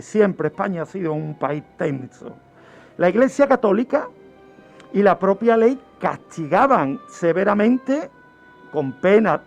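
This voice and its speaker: A man's voice: high voice, gravelly, a little mellow. A man presents a true-crime radio segment, explaining emphatically and repeating phrases for effect. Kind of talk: storytelling explanation in a radio talk. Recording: dull lo-fi radio audio, music underneath. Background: background music.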